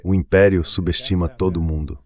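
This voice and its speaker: A man's voice: deep voice, fairly even intonation, a little fast, a little mellow. A man with a Brazilian accent giving dubbed political commentary as an interview guest.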